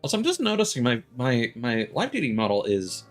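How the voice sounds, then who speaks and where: A man talks casually on a live game stream. He rambles and halts as he mildly complains, with music playing faintly behind his mid-pitched voice. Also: wildly swooping pitch. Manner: rambling, halting, mildly griping.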